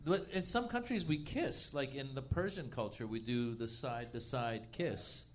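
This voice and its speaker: A man's voice: medium pitch, moderate pace. A man trading comic banter as a panelist in short, playful, punchy quips, recorded live with some noise. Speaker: panelist, comedian. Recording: noisy live ambience.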